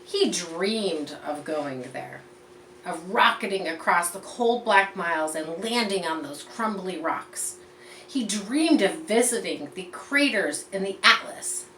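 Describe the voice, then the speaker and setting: Woman, slightly low voice, children's storytelling, teacherly and expressive, roomy echo, set back from the mic. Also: slow pace. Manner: expressive, teacherly, explains and points things out to young listeners. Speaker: storyteller reading a picture book aloud for children. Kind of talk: read-aloud storytelling with explanatory asides. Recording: roomy echo, distant mic, faint background noise.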